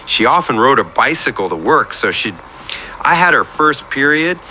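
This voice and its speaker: A man's voice: mid-range voice, sing-song, moderate pace, sharp tone, slight background noise, low-quality recording. A man playing an earnest, lonely, oversharing oddball client in a radio comedy, rambling in odd detail. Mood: wistful. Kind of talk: scripted radio comedy monologue.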